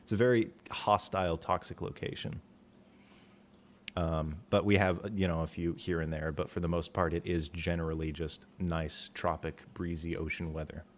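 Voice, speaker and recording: Soft-spoken livestream host, very low voice, quiet delivery, casual. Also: male voice, level tone, moderate pace. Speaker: author and stream host.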